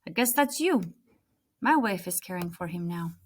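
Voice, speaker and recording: A woman voicing a kindly, calm, helpful market man from a storybook. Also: on the low side, moderate pace. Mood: welcoming.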